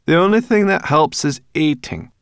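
A man's voice: a little high, lively intonation, moderate pace, smooth, no room sound, studio quality, pitched above the performer's own voice. A man voicing a bossy, grumpy female brownie with a sarcastic, scolding manner, in a children's storybook reading. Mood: brisk, cheery. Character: bossy, grumpy female furry brownie, sharp-tongued and cocky. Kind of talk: children's audiobook character voice.

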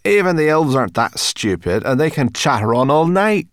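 A man voicing a grumpy, bossy female forest creature in a storybook, full of scornful grumbling and quick to panic. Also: mid-range voice, no room sound, clean studio sound, voice pushed up, close to an everyday voice. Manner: scornful exclamations and colourful grumbling.

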